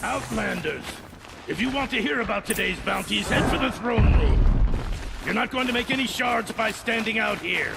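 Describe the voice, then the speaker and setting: A man acting a contemptuous citadel guard in a video game, barking scornfully in a gravelly voice. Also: high-pitched, lively intonation, normal speed, long pauses, heavily acted. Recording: very noisy outdoor background.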